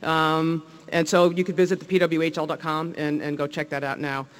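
A man from New Jersey with a clear New Jersey accent, speaking at a public welcome event as a league executive. His voice sits a bit high for a man, and he talks with eager enthusiasm, full of ums, uhs and false starts. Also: level tone, fairly quick. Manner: enthusiastic, many fillers and restarts. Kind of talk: public speech at a press event.

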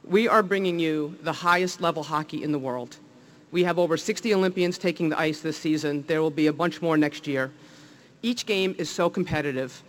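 A man's voice: fairly high pitch, fairly quick, a bit bright. A man with a New Jersey accent, a league executive giving an enthusiastic public speech at a welcome event, with many fillers and restarts.

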